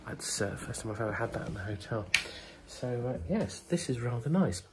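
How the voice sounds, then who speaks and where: A man with a cold, talking quietly in a hotel room in a casual vlog update, with many ums and hesitations. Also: mid-range voice, animated, fairly quick, heavy background noise. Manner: speaks softly, hushed, many ums. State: bunged up with a cold. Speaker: vlogger.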